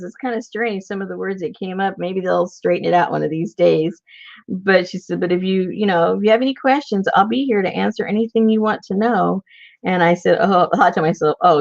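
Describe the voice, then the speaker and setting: Gravelly woman chatting while painting. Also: mid-range voice, muffled recording. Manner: chatty, rambling, mutters to herself while working. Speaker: art tutorial host. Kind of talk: casual chat while painting.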